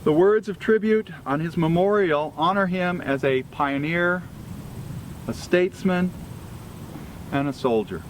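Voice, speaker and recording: A man with a slightly high voice gives a formal memorial reading in a measured, phrase-by-phrase way. He is recorded outdoors, with clear ambient noise and vehicles behind him. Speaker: reader at a historical commemoration. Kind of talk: formal scripted tribute reading. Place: outdoors at a cemetery gathering.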